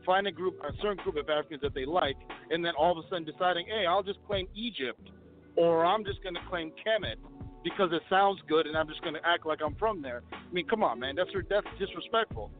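A man's voice: on the high side, a little fast. A man from Ethiopia debating casually, challenging and explaining in colloquial speech. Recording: tinny phone-like line, faint music behind.

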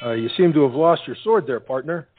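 Awkward, well-meaning young soldier played by a man, slightly high, comic stage delivery, phone-like sound. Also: lively intonation, fairly quick, natural voice. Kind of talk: comic stage play acting. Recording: noisy, tinny stage play recording.

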